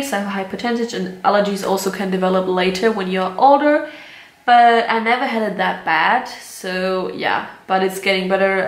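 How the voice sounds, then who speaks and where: Young woman vlogger, mid-pitched, chatty and unfiltered, recorded in a roomy space. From Germany. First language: German. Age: 20s. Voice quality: chatty, candid, laughs often, swears casually. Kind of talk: casual chat to camera. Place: at home, indoor room. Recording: roomy echo.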